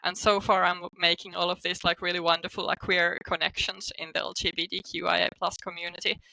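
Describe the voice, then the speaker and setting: A woman with a Finnish accent chats as an interview guest in a bright, creaky voice. Her phrasing is informal and full of "like". The recording is close and dry. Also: lowish voice, level tone, fairly quick, no echo, rough recording. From Finland. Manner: informal, peppered with "like" as a hedge. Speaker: guest, psychotherapist and coach. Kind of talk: casual interview talk.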